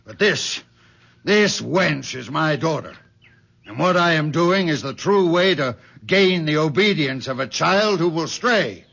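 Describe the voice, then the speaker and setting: A man's voice: on the high side, animated, a little slow, vocal fry, fairly bright tone, heavily acted. An actor playing a stern, controlling old settler father with archaic, accusing speech in an old-time radio drama. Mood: stern, self-righteous. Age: older. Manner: archaic, theatrical, denouncing speech. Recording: dull vintage radio recording.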